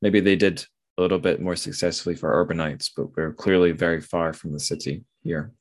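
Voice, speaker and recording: Deep-voiced man discussing books casually. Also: fairly even intonation, brisk. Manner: thinking aloud, self-correcting. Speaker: podcast host.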